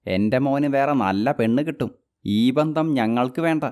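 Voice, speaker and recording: A man's voice: mid-range voice, moderate pace, a little mellow, lightly acted. A man doing a stern, dismissive father. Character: stern father refusing a widow bride.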